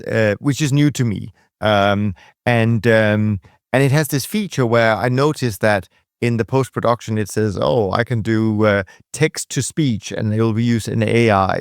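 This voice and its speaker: A man's voice: lowish voice, vocal fry, no room sound, clean studio sound. A man with a Danish accent hosting a podcast interview, chatting with rambling asides. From Denmark. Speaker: podcast host. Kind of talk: conversational interview.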